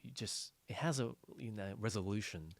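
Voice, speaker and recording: Halting man chatting on a podcast, dry sound. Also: fairly low pitch, dry recording. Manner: halting, repeats phrases, frequent backchanneling. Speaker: podcast co-host. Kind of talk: casual conversational podcast discussion.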